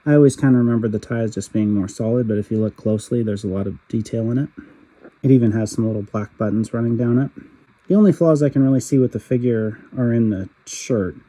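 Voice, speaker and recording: A man narrating a toy figure review, a little raspy from a cold, in a steady, matter-of-fact way. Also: medium pitch, fast talker, low-quality recording. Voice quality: husky. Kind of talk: calm voice-over product review.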